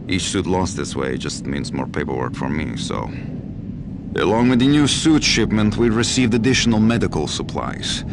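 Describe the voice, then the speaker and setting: Low-voiced, matter-of-fact supply manager, noisy log. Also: male voice. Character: bureaucratic male supply manager at a Mars lab. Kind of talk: scripted audio log. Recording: very noisy audio log recording.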